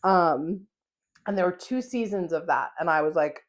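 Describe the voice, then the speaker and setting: A young American woman hosting her own podcast, talking casually and excitedly with lots of fillers and laughs. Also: on the low side, normal speed. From United States. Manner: chatty, animated, with frequent laughs and fillers. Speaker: podcast host. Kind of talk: solo casual podcast monologue.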